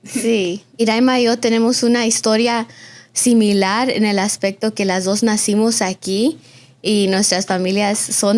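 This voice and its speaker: A woman in her early twenties from Austin, Texas, hosting a conversational interview podcast in an effusive manner, with the odd English filler word. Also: medium pitch. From Austin, Texas, of a Mexican family. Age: early 20s. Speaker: podcast host.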